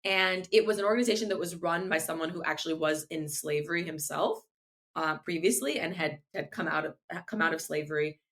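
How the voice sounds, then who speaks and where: A woman's voice: low voice, a little fast, a bit bright. A woman whose first language is Farsi, answering interview questions in an earnest, thoughtful way with frequent restarts. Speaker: guest, legal tech founder.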